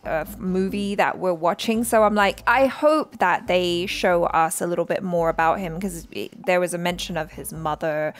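Expressive woman chatting in casual reaction. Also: on the low side, normal speed, few pauses. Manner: expressive, excitable. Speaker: reaction show host.

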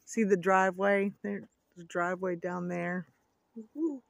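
A woman's voice: mid-range voice, steady tone, measured pace, gravelly, no echo. Chatty woman vlogging from a roadside. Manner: chatty, addresses viewers as y'all. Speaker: travel vlogger. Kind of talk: casual narrated road trip vlog. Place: outdoors by a rural road in winter. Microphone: phone camera held by the filmer.

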